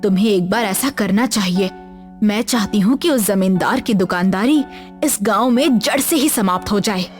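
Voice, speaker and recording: A woman's voice: mid-range voice, moderate pace, faint music behind, lightly acted. A woman voicing a determined village wife who urges her husband on in a cartoon dub. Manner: urging.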